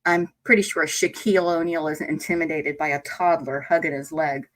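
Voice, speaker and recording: A woman co-hosting a casual reaction chat, talking freely with lots of "like" and quick laughs. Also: deep voice, steady tone, a bit bright. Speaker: co-host reacting to a video.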